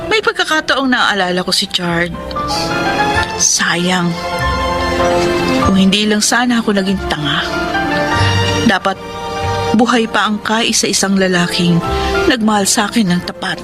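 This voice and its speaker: A woman's voice: mid-range voice, expressive, unhurried, long pauses, natural voice. A woman with a Filipino accent playing an emotional, heartbroken woman in a radio drama. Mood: regretful, resigned. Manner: tearful, melodramatic pleading. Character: Ursula, desperate grieving woman pleading for dying boyfriend, later regretful. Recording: loud dramatic music behind.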